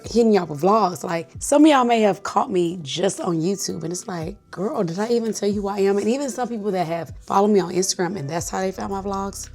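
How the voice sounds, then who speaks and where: A woman, chatting in a bubbly, animated way in a casual get-to-know-me vlog, a little stuffy from sinus congestion. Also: medium pitch. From Nashville, Tennessee. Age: 30s. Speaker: vlogger.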